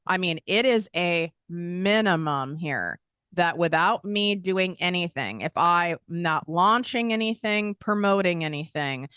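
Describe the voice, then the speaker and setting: A woman's voice: on the low side, animated, unhurried, a bit bright, smooth, dry recording, studio quality. A woman hosting a motivational business podcast, talking candidly and with energy, acting out doubtful inner voices and laughing at herself. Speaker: podcast host and business coach. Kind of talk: motivational solo podcast talk.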